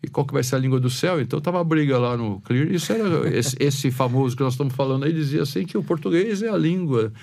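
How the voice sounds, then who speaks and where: A man's voice: mid-range voice, quick pace, vocal fry, few pauses, darkish tone. A Brazilian man, a pastor and podcast guest, telling stories in a rambling way with many restarts. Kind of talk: casual podcast conversation.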